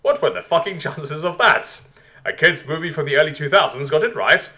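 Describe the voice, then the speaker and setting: A man voicing an irreverent, easily amazed Earth leader with a casual, wry, excitable manner in a story. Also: a little high, brisk, pitched above the performer's own voice. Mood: incredulous.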